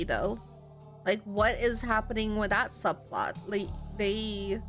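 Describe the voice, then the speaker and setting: A woman giving casual, reactive let's-play commentary on a horror game, thinking out loud in an informal way. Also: a little high. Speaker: game streamer playing a horror visual novel. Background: game music.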